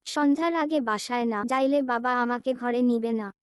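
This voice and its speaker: A sweet, friendly young girl from a cartoon, played by a woman. The voice is very high and crisp, with an earnest, pleading way of talking. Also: normal speed, no room sound, studio quality, close to an everyday voice. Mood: distressed. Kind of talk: animated cartoon dialogue.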